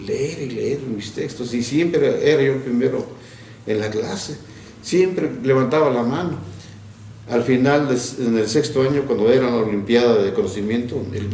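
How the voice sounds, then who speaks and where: An older man from Mexico, a retired teacher, recalling his childhood in an interview, reflective and often laughing, with halting restarts. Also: mid-range voice, dark tone, reverberant, a little hiss or hum. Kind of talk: recounting childhood memories.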